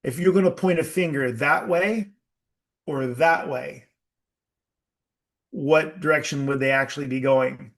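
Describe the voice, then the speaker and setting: Workshop leader, male, slightly high voice, slow deliberate delivery, quizzes the room. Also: fairly bright tone. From United States. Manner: slow, emphatic, asks the audience questions. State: sweating. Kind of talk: live group workshop talk.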